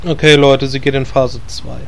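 A man's voice: medium pitch, fairly even intonation, normal speed, natural voice. A man playing an exasperated, bossy raid leader in a parody fantasy radio play. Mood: commanding. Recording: clear background noise.

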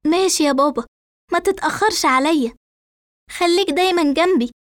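A woman's voice: very high-pitched, brisk, no room sound, clean studio sound, voice pushed up. A woman voicing a fragile but defiant daughter in an audio story, emotional and insistent, with an Egyptian accent. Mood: devoted. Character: defiant, fragile daughter devoted to dead father.

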